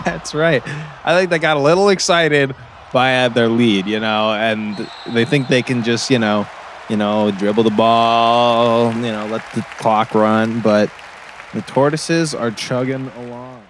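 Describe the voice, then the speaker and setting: An actor playing an excitable, folksy small-town color commentator at a basketball game, with halting, repetitive phrasing. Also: male voice, mid-range voice, some chatter behind, slight background noise, lightly acted. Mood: excited. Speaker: sports commentator. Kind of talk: improvised-sounding basketball commentary in an audio drama.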